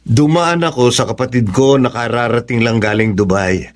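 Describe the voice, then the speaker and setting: An actor plays an earnest Filipino boyfriend in a radio drama. His voice sits at a middle pitch. He speaks eagerly and with resolve. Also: moderate pace, close to an everyday voice. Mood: pleased. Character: earnest, decisive young boyfriend wanting marriage.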